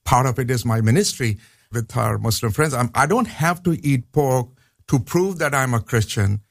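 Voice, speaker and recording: A man's voice: medium pitch, animated, normal speed, vocal fry, no room sound, studio quality. Pakistani man explaining earnestly. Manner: earnest, explanatory, repeats points for emphasis. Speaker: seminary professor guest. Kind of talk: radio interview. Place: radio studio.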